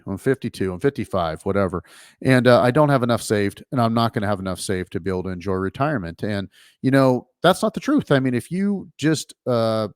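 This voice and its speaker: Low-voiced man explaining finances. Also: no echo, studio quality. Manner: explanatory, many fillers and restarts. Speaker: financial advisor and show host. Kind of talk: casual radio-show talk.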